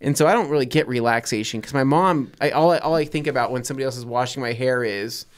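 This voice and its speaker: A man co-hosting a podcast in a studio, talking casually and telling animated stories into a boom mic. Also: fast, clean tone. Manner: chatty, self-described talker, animated storytelling. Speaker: podcast co-host reading listener questions. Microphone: boom-arm studio mic.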